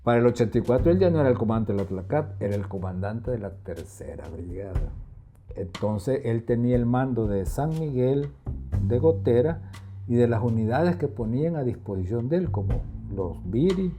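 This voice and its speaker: Guy with a soft mellow mid voice explaining stuff in an interview. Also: mid-range voice, lively intonation, normal speed, faint music behind.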